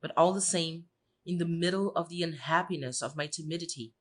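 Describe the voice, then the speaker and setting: A woman's voice: lowish voice, a little fast. A woman reading scripted literary narration as an audiobook narrator.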